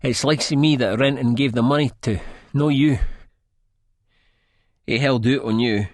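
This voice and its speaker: A man playing a battered, hapless Scottish junkie in an audiobook, meek and self-loathing, groaning out halting, plaintive lines. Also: medium pitch, no echo, studio quality, voice pushed up, close to an everyday voice. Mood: pained defiance. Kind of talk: dramatised audiobook acting.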